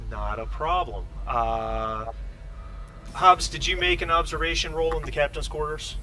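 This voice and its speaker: A man narrating a tabletop game as game master, in a halting way with repeated starts. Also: a little high, sharp tone, recorded from a distance, a little hiss or hum.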